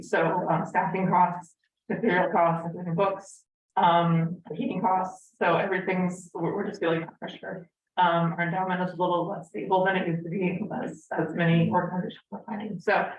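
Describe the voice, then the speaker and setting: A woman who is a library director, hoarse from a cold, presenting at a town meeting with lots of ums. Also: lowish voice, a little hiss or hum. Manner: many filler ums, soft-spoken. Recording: distant roomy lo-fi meeting recording.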